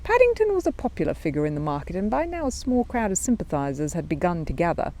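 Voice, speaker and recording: A woman's voice: on the low side, sing-song, quick pace, warm tone, low-quality recording. A woman storyteller narrating and introducing a children's book.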